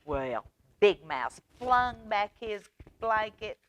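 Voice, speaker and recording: Very slow-speaking woman storyteller. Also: mid-range voice. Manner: expressive, simple phrasing for small children. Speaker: children's librarian, storyteller. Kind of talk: children's storytime read-aloud.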